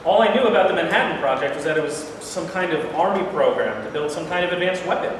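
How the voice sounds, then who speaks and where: A man's voice: a little high, animated, fast, natural voice. A man in a staged reading playing a humble, uneasy newly sworn American president. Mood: brisk, questioning. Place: large room at a live staged reading. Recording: very echoey hall, distant hiss.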